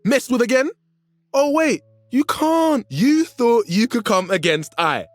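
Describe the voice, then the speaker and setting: A man's voice: very high voice, expressive, measured pace, no room sound. A man voicing a cocky, taunting young male agent in a shooter game.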